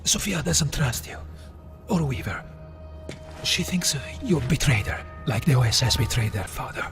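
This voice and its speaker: An actor playing an earnest, troubled resistance fighter in a video game cutscene, grave and emphatic. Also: male voice, mid-range voice, animated, normal speed, creaky, music in the background.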